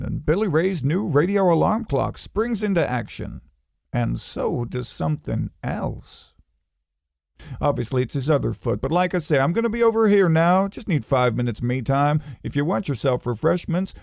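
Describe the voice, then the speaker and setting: A man's voice: a little high, very animated, normal speed, vocal fry, a little mellow, no echo, studio quality. A man playing a chatty, distracted narrator of a comedy radio play, rambling and self-effacing with wry muttered asides.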